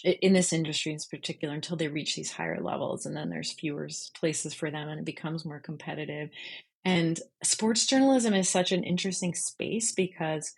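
Woman being interviewed, slightly low husky voice, conversational n reflective. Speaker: interview guest, freelance journalist.

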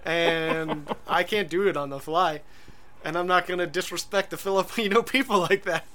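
A man's voice: high voice, steady tone, moderate pace, bright, forceful tone. A man co-hosting a podcast in casual chat, rambling and hesitant, full of fillers and restarts. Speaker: podcast co-host.

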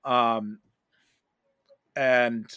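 A man's voice: mid-range voice, level tone, very slow, bright, forceful tone, smooth, no room sound. A man hosting a podcast, talking casually in a pop-culture discussion. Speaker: podcast host.

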